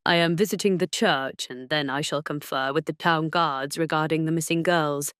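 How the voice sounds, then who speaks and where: A woman's voice: low voice, steady tone, normal speed, fairly bright tone, smooth, no room sound, studio quality. A woman voicing a teasing, self-assured aristocratic duke, speaking formally in a romance audio drama. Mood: composed, commanding.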